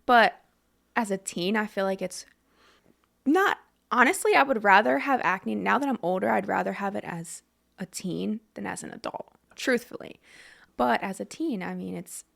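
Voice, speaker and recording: A woman's voice: medium pitch, lively intonation, normal speed, vocal fry, fairly bright tone. A young woman from Pennsylvania, a guest on a podcast, talking candidly and laughing often. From Lebanon, Pennsylvania. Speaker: guest, business owner. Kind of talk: casual interview chat. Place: podcast studio.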